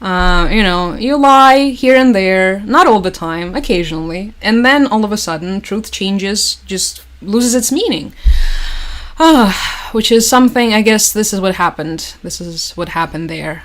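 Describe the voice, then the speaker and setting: A young woman talks casually to her livestream chat, mid-pitched and conversational. Her delivery is wry and sarcastic, with dry asides and quick laughs. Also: expressive, fairly bright tone. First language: Russian. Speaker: livestream host. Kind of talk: casual news commentary to chat.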